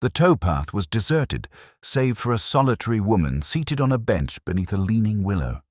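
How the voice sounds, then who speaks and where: A man reading scripted first-person mystery narration in a measured, literary, melancholy manner. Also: on the low side, sing-song, darkish tone, no echo, studio quality.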